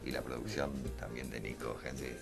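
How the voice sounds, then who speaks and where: A middle-aged man, a guest actor in a radio studio, speaking haltingly with many "eh" fillers into a studio mic. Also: extremely low pitch, steady tone, gravelly, music in the background. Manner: halting, fills with "eh" and "este". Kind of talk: casual radio interview chat. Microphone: studio mic with headphones.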